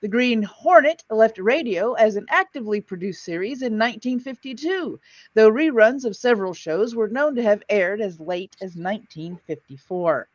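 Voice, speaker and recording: A woman's voice: fairly high pitch, animated, moderate pace, dry recording, dull audio. A woman hosting an old-time radio listening show, reading introductions and chatting casually in between.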